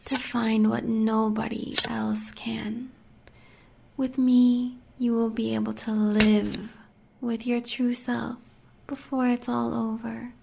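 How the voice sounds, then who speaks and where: A woman's voice: on the high side, level tone, slow pace, vocal fry, noisy background, exaggerated voice. An American woman playing a sadistic, seductive huntress in an audio roleplay, taunting the listener in sultry half-whispers with cruel pet names. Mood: seductive menace. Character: sadistic seductive werewolf huntress, villain's lover.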